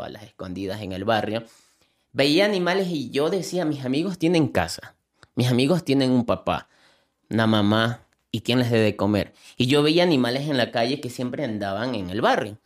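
A young adult man, telling his own story as an interview guest. He speaks earnestly and from the heart, often restarting or repeating words. His pitch sits in the ordinary middle range. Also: very animated, fairly quick. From Ecuador, coastal Manta. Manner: earnest, heartfelt, repeats himself when emotional. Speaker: animal rescuer and content creator.

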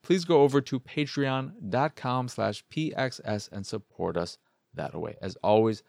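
Tired gravelly guy doing a casual solo podcast, playful rambling, real dry close sound. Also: medium pitch, measured pace, a little mellow, dry recording. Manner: informal, self-deprecating, playful, mild swearing. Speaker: solo video game podcast host.

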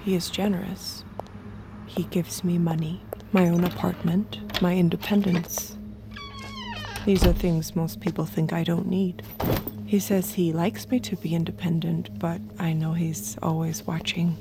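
Low-voiced obedient android escort, noisy recording. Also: female voice, flat delivery, measured pace. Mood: detached, wistful. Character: obedient, observant female android escort, eager to please. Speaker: narrator and lead character. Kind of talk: intimate scripted audio drama narration.

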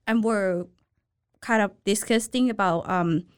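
A woman from Thailand with a Thai accent, a restaurant owner telling her story in a casual interview, speaking in halting, simple phrases. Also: mid-range voice, clear, resonant tone.

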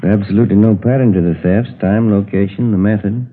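Guy playing observant american cop in old radio drama, lowish voice, matter of fact, muffled recording. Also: fairly even intonation, brisk, close to an everyday voice. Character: observant, matter-of-fact male police detective, the sergeant's partner. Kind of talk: 1950s radio police drama dialogue.

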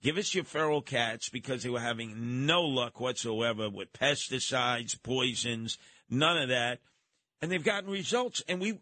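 A man with a forceful, bright New York voice, recorded close and dry. He hosts a live radio call-in show, rambling along with theatrical emphasis. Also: medium pitch, dry recording. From New York City. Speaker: radio talk show host.

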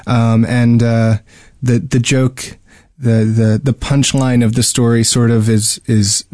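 A man's voice: medium pitch, flat delivery, slow pace, darkish tone, no room sound. Man, casual and hesitant, close dry sound. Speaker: podcast co-host. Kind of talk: casual gaming podcast chat.

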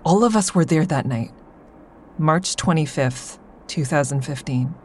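An actress playing a traumatized woman survivor in an audio drama, recounting her ordeal in a measured, deliberate way. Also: sits low, slight background noise. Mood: somber, haunted. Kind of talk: full-cast audio drama monologue.

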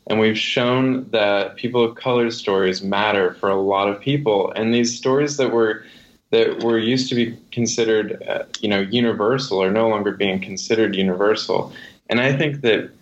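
A man speaking conversationally as a guest author being interviewed, on a slightly dull recording. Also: lowish voice.

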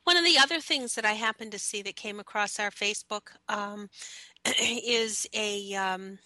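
A woman co-hosting a talk radio show, slightly raspy and hoarse from a cold, chatting casually with many ums and restarts. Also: mid-range voice, measured pace, sharp tone, no echo, poor audio. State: hoarse from the tail end of a cold.

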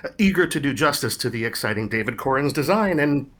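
An actor playing an eager but hesitant designer who stammers on the phone. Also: male voice, mid-range voice, animated, quick pace, a bit bright. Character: eager, timid merchandise designer man.

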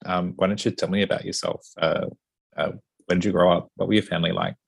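A man hosting a casual interview, chatty and relaxed, with lots of fillers. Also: sits low, quick pace, creaky, husky. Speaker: podcast host interviewing an author.

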